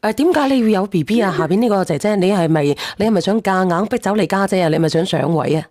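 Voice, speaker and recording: Female call-in host, mid-pitched and very fast, casual, probing with questions, close dry sound. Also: lively intonation, darkish tone, dry recording. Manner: questioning, interjecting. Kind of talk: casual live call-in chat.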